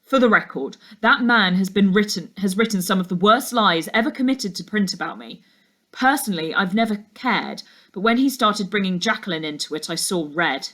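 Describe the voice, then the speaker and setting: A woman's voice: mid-range voice, normal speed, a bit bright, natural voice. A woman voicing a brooding, burdened male detective in a storybook, speaking earnestly and emphatically. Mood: apologetic, indignant.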